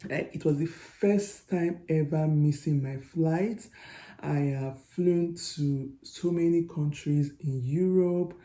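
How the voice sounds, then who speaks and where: A young Nigerian woman with a Nigerian accent, telling a story to her vlog viewers in a chatty, animated way. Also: deep voice, takes it slowly, roomy sound. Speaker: vlogger. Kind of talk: storytelling to camera.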